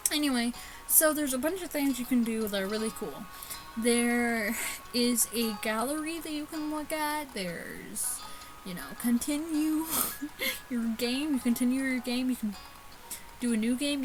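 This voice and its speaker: A woman with a high voice hosts a gaming video in a casual, chatty style. She stumbles and restarts when reading on-screen text aloud. Music plays clearly behind her voice. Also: poor audio. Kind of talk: casual let's-play commentary.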